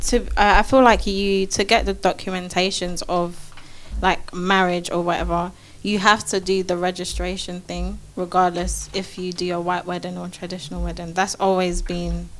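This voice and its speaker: A woman speaks in a relaxed group chat, quick to agree and back the others up. Her voice is on the lower side for a woman. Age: 20s. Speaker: guest.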